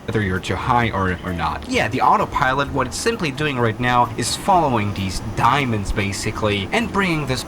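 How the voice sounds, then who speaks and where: A man narrates a simulated airliner approach with an easy, upbeat tone, explaining each step. His voice sits at an ordinary pitch. The audio comes through a played-back video with plenty of noise. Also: big pitch swings, moderate pace, few pauses. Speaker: flight sim youtuber. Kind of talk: flight simulator tutorial narration.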